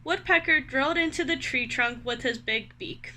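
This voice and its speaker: A woman with a high, bright voice reads a children's story aloud. She is expressive and speaks directly to her young listeners, guiding them through the tale. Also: normal speed. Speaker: read-aloud host.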